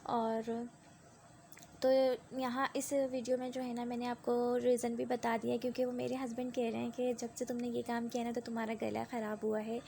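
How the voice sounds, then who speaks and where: A woman's voice: fairly high pitch, level tone, normal speed, creaky, dark tone, slight background noise. A young woman with a sore throat and a cough, chatting through a rambling vlog voice-over. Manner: rambling and conversational, with many tag fillers. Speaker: vlogger.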